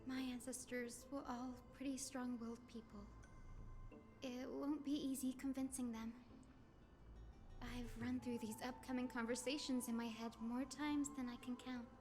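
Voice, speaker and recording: An actress voicing an earnest, gentle young healer of a sky people in a video game, speaking formally and reverently. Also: female voice, on the high side, little pitch movement, natural voice. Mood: thoughtful. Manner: formal, reverent, measured delivery. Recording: game music behind. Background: game soundtrack music.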